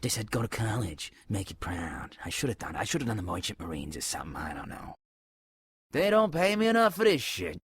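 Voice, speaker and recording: A man voicing a gruff, grumbling, underpaid gangster thug with a machine gun in a video game. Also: fairly low pitch, big pitch swings, brisk, fairly bright tone, no echo, heavily acted. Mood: bored grumbling. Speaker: enemy henchman voice.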